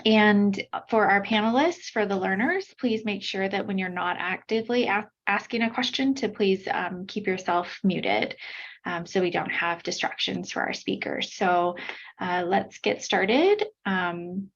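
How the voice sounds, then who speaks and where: A woman's voice: mid-range voice, a little slow, muffled recording. A woman moderating an online webinar, giving housekeeping notes and introducing the speaker with many hesitant fillers, heard over a video call.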